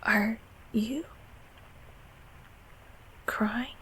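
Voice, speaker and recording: Woman voicing a slow, stammering, gentle closet monster. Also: a little high, steady tone, husky, full character voice. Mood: hesitant, concerned. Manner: stammering, hesitant, rambling. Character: friendly, anxious, apologetic childhood closet monster, gentle and flustered. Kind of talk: audio roleplay acting, intimate comfort scene.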